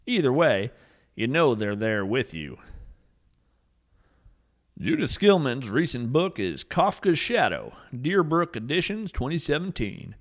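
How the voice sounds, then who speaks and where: A man performs an eccentric male naturalist telling tall tales for a fiction podcast. The delivery is folksy and theatrical, with pitch that rises and dips widely. He sounds earnest about every whimsical wonder. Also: big pitch swings, measured pace. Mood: musing, then friendly, informative. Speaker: podcast host and storyteller. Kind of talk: scripted fiction podcast narration.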